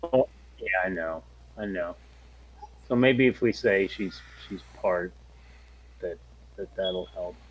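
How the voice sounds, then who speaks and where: Husky low-voiced man at game table. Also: takes it slowly, long pauses, rough recording. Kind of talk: casual game-table discussion.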